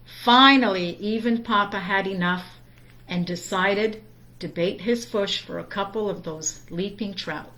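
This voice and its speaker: A woman reads a children's storybook aloud at a slow, deliberate pace. Her voice is medium in pitch, with expressive storytime phrasing. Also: poor audio. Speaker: storytime reader.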